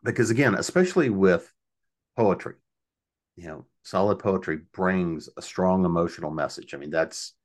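A man hosting a podcast, asking his guest questions in a measured way. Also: low voice. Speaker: podcast host. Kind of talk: conversational interview.

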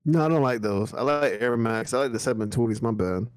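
A man's voice: medium pitch, a little fast. A man co-hosting a podcast in casual chat, chiming in with short comments and laughs. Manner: short interjections, laughing asides. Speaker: podcast co-host.

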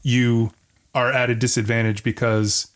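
A man's voice: mid-range voice, level tone, unhurried. A middle-aged man hosting a casual podcast conversation in a joking, self-deprecating manner. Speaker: podcast host.

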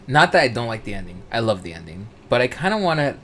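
A man's voice: mid-range voice, big pitch swings, quick pace, fairly bright tone, slight background noise. A man in his twenties chatting casually, rambling with many fillers in an easygoing way. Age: 20s. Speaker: podcast co-host. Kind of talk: casual chat.

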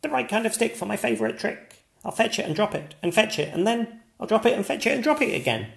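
A man voicing an eager, playful dog in a storybook, talking in an excited rush. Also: high-pitched, vocal fry, voice pushed up, full character voice. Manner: excited, rushed.

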